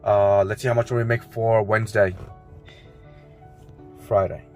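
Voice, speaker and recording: A man's voice: lowish voice, little pitch movement, a little slow, darkish tone, faint music behind. A man driving for a rideshare service, talking casually to the camera in his car, tired and losing his voice, repeating his catchphrases. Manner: upbeat, repeats catchphrases, loose rambling talk. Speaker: rideshare driver. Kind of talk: casual vlog narration while driving.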